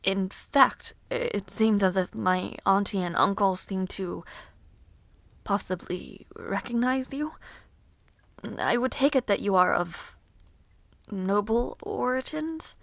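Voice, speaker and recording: A woman playing a caring, easily flustered young noblewoman in a fantasy audio roleplay, soft-spoken, hesitant and politely formal. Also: mid-range voice, slow pace, gravelly, no room sound. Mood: gentle, hesitant.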